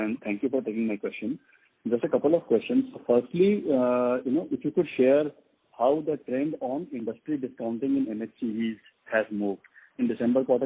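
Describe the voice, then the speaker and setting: A man asks structured questions politely as a financial analyst. His voice is mid-pitched and husky. The sound is thin, from a telephone line.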